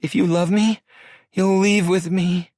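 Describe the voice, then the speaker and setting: A man's voice: high-pitched, little pitch movement, measured pace, darkish tone, dry recording, studio quality, voice pushed up. A man voicing a sobbing young woman. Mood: pleading tearfully. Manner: breathless, begging. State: crying. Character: distraught young woman, pleading and tearful.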